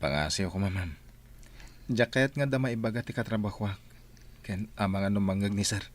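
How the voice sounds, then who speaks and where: An actor playing a respectful, hesitant worker who defers politely to a boss in a radio drama. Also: male voice, on the low side, normal speed, close to an everyday voice. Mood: sheepish. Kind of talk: Philippine radio drama acting.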